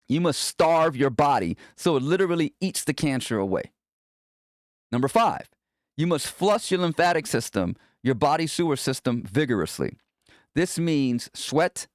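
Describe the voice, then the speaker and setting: Man narrating with lively, swooping pitch. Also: dry recording, studio quality. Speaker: narrator reading a book chapter aloud. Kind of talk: scripted first-person memoir narration.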